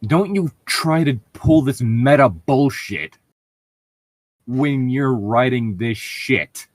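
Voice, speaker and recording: A man's voice: medium pitch, expressive, takes it slowly, dry recording. A man reading fan fiction aloud and breaking off into sarcastic, sweary commentary with an exasperated, mocking manner.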